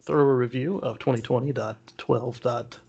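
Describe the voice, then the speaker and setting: A man's voice: mid-range voice, fairly even intonation, moderate pace, vocal fry, a little mellow, slightly rough, muffled recording. A man co-hosting a podcast in casual, opinionated discussion, often repeating words as he feels out a point. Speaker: podcast co-host.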